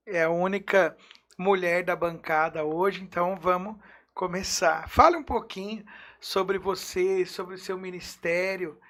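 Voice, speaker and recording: A man's voice: high-pitched, a bit bright. A man with a Brazilian accent hosting a podcast, introducing guests in a casual, effusive way. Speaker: podcast host.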